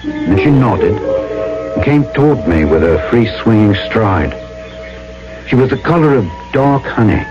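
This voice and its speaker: A man's voice: fairly low pitch, animated, a little slow, long pauses. An actor playing a plainspoken, wry trader who narrates his tale in a radio drama. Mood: reflective. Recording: dull old radio recording. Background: music.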